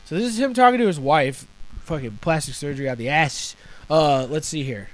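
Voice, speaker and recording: A man's voice: on the high side, lively intonation, fairly quick, faint music behind. Loose-talking male podcast host. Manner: loose and profane, trailing off and restarting. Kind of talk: casual podcast chat.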